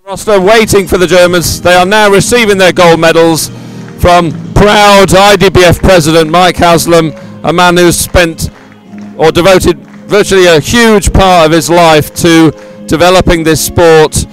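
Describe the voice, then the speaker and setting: High-voiced male event commentator over faint music. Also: steady tone, unhurried, rough recording. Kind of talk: live commentary on results and medal ceremony.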